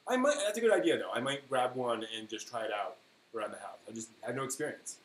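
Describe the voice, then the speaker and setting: A man's voice: mid-range voice, expressive, fast talker. Man chatting in an echoey room. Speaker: live stream host. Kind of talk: casual live stream chat, replying to viewers. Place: indoor room at home with printer running. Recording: roomy echo, faint buzzing 3D printer noise. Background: faint buzz of a 3D printer.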